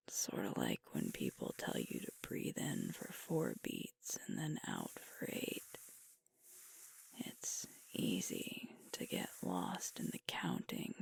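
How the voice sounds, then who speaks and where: A sweet werewolf girlfriend played by a woman whispers in a very breathy, unhurried ASMR murmur. The voice is extremely slow, with a crackling creak through it. She is gentle and reassuring, easing her partner toward sleep. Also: extremely low pitch, no room sound, exaggerated voice. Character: sweet, soothing young female werewolf girlfriend. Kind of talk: ASMR roleplay, sleep aid.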